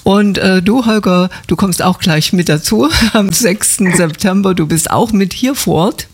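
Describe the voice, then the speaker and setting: A man's voice: high voice, measured pace, husky. A man hosting an interview in a chatty, affable, conversational way. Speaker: radio-style interviewer.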